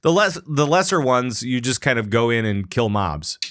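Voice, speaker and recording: A man's voice: mid-range voice, very animated, moderate pace, a bit bright, dry recording, clean studio sound. A man hosting a casual gaming podcast, joking playfully and repeating phrases for emphasis. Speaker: podcast host.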